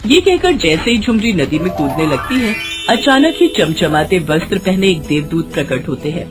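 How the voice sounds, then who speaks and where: A woman narrating a scripted story in an expressive storytelling style. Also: on the low side, moderate pace. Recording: music behind the voice.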